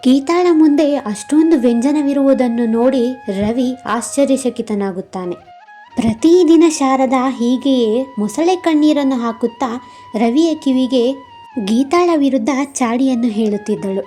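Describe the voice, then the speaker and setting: A woman's voice: high voice, a little slow, dark tone, soft background music. A woman narrating a scripted moral story.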